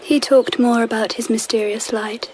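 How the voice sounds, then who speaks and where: An actress playing a regal, quietly wistful empress in a radio drama, speaking in a hushed, deliberate, confiding way. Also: female voice, fairly high pitch, steady tone, a little hiss or hum, natural voice. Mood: musing. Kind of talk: naturalistic radio drama acting.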